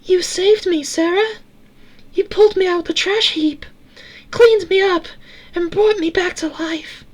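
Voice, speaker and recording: A man voicing a little female robot, kind and coaxing, in a tinny, soft girlish falsetto for a storybook reading. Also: steady tone, unhurried. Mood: sweetly coaxing. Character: tinny little female robot, sweet fairy-godmother wish granter.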